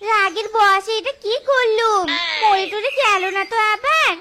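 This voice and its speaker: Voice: very high-pitched, a little slow. An actor voicing a scolding, anguished fox wife in a cartoon, with dramatic, exaggerated delivery. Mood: worried, distraught. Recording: loud music under the voice.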